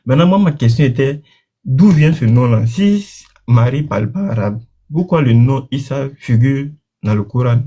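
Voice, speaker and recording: A man's voice: mid-range voice, expressive, moderate pace, dark tone, breathy edge. A man who does not speak Arabic, debating religion with cautious, conditional reasoning.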